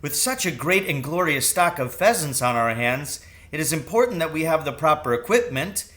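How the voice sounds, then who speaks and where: Man performing an exuberant storybook father, loving, boastful, emphatic, bright forceful tone, highish pitch. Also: normal speed. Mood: eager. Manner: emphatic, grandly excited declarations. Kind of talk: children's storybook reading.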